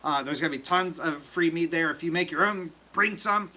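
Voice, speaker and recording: Bright-voiced man chatting on a livestream. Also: fairly high pitch, brisk. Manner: rambling, filler-heavy, joking. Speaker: livestream host. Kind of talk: casual livestream chat.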